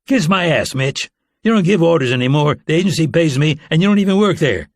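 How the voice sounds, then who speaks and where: A man's voice: fairly high pitch, expressive, brisk, no echo, clean studio sound. A man voicing an indignant, combative former Navy SEAL in a thriller audiobook, with blunt delivery. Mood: defiant, scornful. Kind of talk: audiobook character dialogue.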